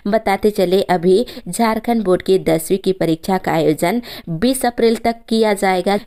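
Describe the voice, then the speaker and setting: A woman's voice: fairly low pitch, mellow. A woman presenting the news in a scripted, headline-by-headline narration.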